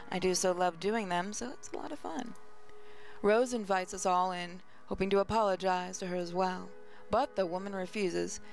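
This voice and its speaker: A woman's voice: medium pitch, creaky. A woman hosting a gaming channel, reading visual novel narration aloud, with faint music behind her.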